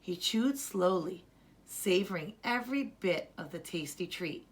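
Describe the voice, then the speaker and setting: A woman narrating a children's story as a storyteller, with deliberate, expressive delivery. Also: on the low side.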